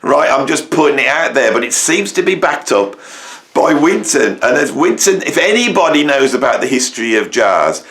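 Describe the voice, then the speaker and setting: A middle-aged man from England with a croaky voice, giving an opinionated monologue to camera, rambling and emphatic with many fillers and restarts. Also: mid-range voice, big pitch swings, moderate pace, fairly bright tone. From England, near Birmingham. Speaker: musician and educator, channel host.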